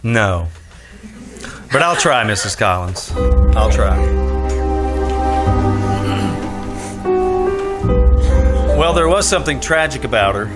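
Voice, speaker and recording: A man's voice: mid-range voice, animated, moderate pace, over loud music, close to an everyday voice. A man playing a shrewd, skeptical private detective in a radio drama, dry and probing as he questions and narrates. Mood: dry, sympathetic.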